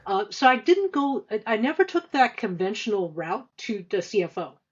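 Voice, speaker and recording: A woman in her fifties answering interview questions conversationally, with halting, hesitant speech full of stammered restarts. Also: mid-range voice, sing-song, husky, lo-fi sound. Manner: halting, hesitant delivery with frequent stammered repeats and long filler runs. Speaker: podcast guest, senior finance executive.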